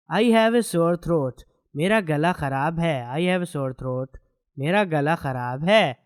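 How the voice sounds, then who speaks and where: A man teaching English, reading each short sentence slowly twice with its translation. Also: on the high side, dry recording, studio quality. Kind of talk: slow sentence drills with translations.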